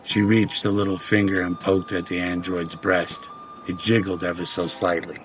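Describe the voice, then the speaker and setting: A man's voice: low voice, level tone, moderate pace, over music. A man reading scripted narration for an audio drama.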